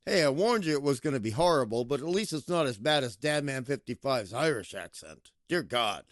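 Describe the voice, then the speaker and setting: A man giving a casual, playful film review, with lively emphasis that he stretches out for effect. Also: medium pitch, dry recording, clean studio sound. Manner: playful, animated, drawn-out emphasis. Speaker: movie reviewer.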